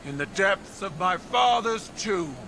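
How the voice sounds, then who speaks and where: An actress playing a proud alien warrior empress in a video game, commanding, formal and defiant. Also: female voice, mid-range voice, animated, measured pace, creaky, noisy background. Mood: defiant, challenging. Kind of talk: video game dramatic dialogue.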